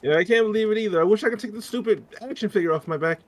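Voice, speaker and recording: A man's voice: high voice, racing, a crowd in the background, lightly acted. A man voicing a meek yet cheeky teenage anime hero in a joke fan dub, exaggerated, apologetic and teasing. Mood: cheeky, defiant. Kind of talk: improvised joke fan dub while gaming.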